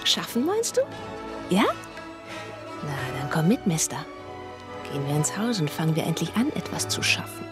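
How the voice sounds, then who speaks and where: An actress playing a fierce, commanding wife in a radio drama, imperious and taunting. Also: female voice, deep voice, big pitch swings, moderate pace, long pauses, over loud music. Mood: teasing. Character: fierce, commanding, mocking wife in a household feud. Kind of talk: German radio drama acting.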